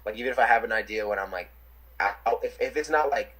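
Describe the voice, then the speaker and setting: Young new guy on a video call, kinda husky, lots of "like" and restarts. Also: on the low side, fast, fairly bright tone, poor audio. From New York City. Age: young adult. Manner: rambling, lots of fillers and restarts. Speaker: interviewee, rapper. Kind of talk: casual chat.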